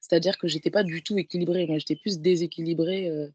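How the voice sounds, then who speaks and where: A woman's voice: on the low side, steady tone, fast, darkish tone, husky, dry recording, lo-fi sound. A woman in her twenties from Paris, speaking casually and reflectively as a guest in a conversation. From Paris, France. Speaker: guest, professional handball player.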